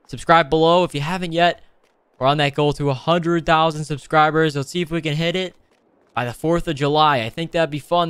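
A young man narrates his gameplay in a casual, excitable way. His voice sits fairly high and sounds clean and smooth. He is slangy and animated, full of exclamations as he plays along with the roleplay. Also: dry recording. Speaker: gaming video host.

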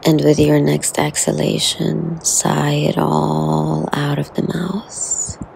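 A woman guides a meditation in a soothing, very slow delivery. Her voice is flat in pitch and heavy with vocal fry, softening into breath as phrases end. She leaves long, unhurried pauses. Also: slight background noise.

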